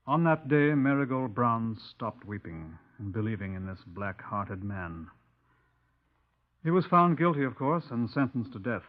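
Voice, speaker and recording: A man playing a stern, methodical police inspector in a 1950s radio drama, speaking in a measured, dry, formal manner. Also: medium pitch, natural voice. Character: stern, methodical male police inspector recounting his case. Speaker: narrator and detective character. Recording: tinny, narrow-band 1950s radio recording.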